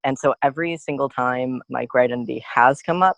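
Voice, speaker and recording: Young man with a gravelly voice. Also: mid-range voice, brisk, fairly bright tone, no echo. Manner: earnest, reflective, frequent "you know" and "like". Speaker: student guest. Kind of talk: conversational podcast interview.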